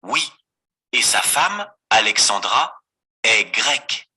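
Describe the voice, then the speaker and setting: Bright, husky man reading a textbook dialogue. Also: fairly low pitch, sing-song. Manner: slow, clearly articulated. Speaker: voice on course audio.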